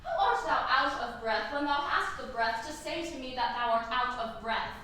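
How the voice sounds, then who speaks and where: Lovestruck, pleading young girl, played by a woman, high voice with lots of hall echo. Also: fairly bright tone, a little hiss or hum. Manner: eager, pleading, declaiming verse. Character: impatient young girl in love. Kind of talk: stage Shakespeare acting. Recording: echoey hall from far off.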